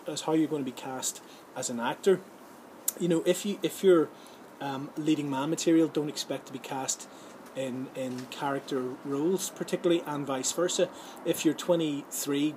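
A middle-aged man giving informal advice to camera in a halting, conversational way, recorded outdoors. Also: a little high. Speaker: host giving voice-over advice. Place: outdoors in a sunny garden or patio. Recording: outdoor ambient background noise.

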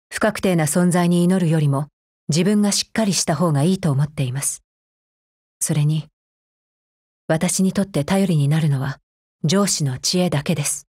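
A woman's voice: on the low side, a little mellow, husky, no room sound, clean studio sound. A woman playing a cold, efficiency-minded female combat android in a mobile game, speaking formally in a clipped, stern, unemotional way. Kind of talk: scripted mobile game character voice lines.

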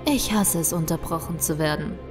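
Woman doing a cold bossy villain lady, audio drama, low slow taunting voice, music behind. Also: natural voice. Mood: cold menace. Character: menacing, domineering woman villain leading faceless soldiers. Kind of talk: German audio drama acting.

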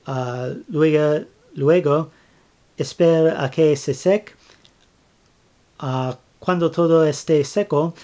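A man speaking Spanish as a second language, giving a step-by-step craft tutorial, with many fillers, restarts and pauses as he searches for words. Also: a little high, a little mellow. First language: not Spanish. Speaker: flag-craft tutorial maker. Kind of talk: halting how-to narration.

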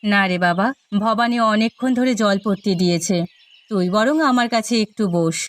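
A woman playing a soothing, soft-hearted middle-aged village mother in a cartoon, speaking reassuringly. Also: medium pitch, normal speed, no echo, lightly acted. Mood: tender. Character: gentle, soothing village mother of a grown son. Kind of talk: Bengali moral-story cartoon dubbing.

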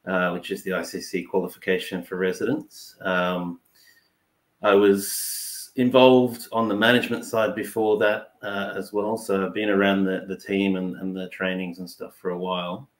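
A man's voice: low voice, little pitch movement, moderate pace. A man in his thirties with an Australian accent, talking casually as an interview guest. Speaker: cricket player and team manager. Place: small indoor room, seated on a couch. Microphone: shared video call microphone, at some distance. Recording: roomy echo on a video call.

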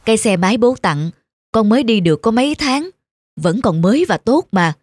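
A woman voicing a sensible middle-aged mother in a cartoon drama, concerned and gently admonishing. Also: a little high, lively intonation, fairly quick, dry recording.

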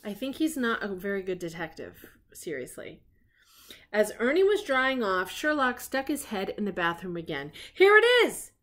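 A woman's voice: medium pitch, big pitch swings, moderate pace, a bit bright. A middle-aged woman reading a children's story aloud expressively, with chatty asides to young listeners. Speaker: grandmother reading stories on her own show. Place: living room at home.

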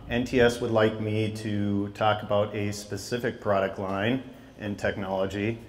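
A man's voice: fairly low pitch, slight background noise. A man in his thirties, a regional tire sales manager, giving a product talk into a handheld mic at an outdoor trade expo, explaining steadily with frequent ums. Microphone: handheld microphone.

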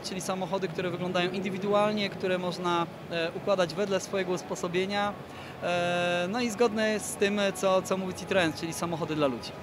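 A man in his thirties giving a promotional interview as a PR manager, speaking in a measured way with many drawn-out hesitations. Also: sits high, fairly even intonation. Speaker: car brand PR manager, interviewee. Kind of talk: promotional product presentation in an interview. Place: large indoor car show hall. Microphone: handheld interview microphone held toward him. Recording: noisy busy exhibition hall background.

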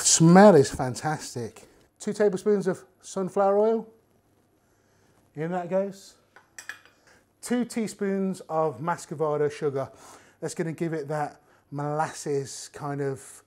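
A man, chatting casually to camera as he cooks in a home kitchen. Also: fairly high pitch. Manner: chatty, relaxed, talks while working with his hands. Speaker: cooking show host. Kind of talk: casual cooking demonstration to camera.

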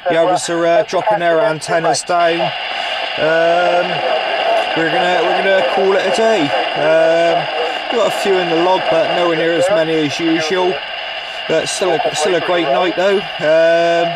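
Slow, halting man, very noisy recording. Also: fairly high pitch, flat delivery. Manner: halting, pausing mid-sentence. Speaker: CB radio operator presenting a video log. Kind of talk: casual unscripted video commentary. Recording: loud outdoor ambience and radio noise.

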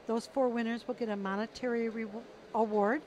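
A woman's voice: fairly high pitch, steady tone, fairly quick, a little mellow, a little hiss or hum. A middle-aged woman answering interview questions about an awards program, informative with some fillers, on a handheld mic in an exhibition hall. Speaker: program representative.

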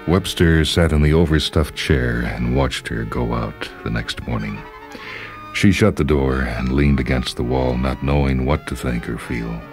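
A man's voice: extremely low pitch, measured pace. A man narrating a literary short story dramatically, with music behind him.

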